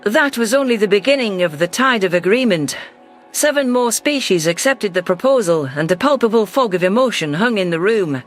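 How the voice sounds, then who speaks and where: A man reading scripted first-person science fiction narration in a measured, literary delivery. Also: high voice, lively intonation, faint music behind. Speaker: audiobook narrator.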